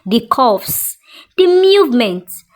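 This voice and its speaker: Woman narrator for suspenseful story. Unhurried delivery, wide swings in pitch, expressive. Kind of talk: scripted dramatic story narration.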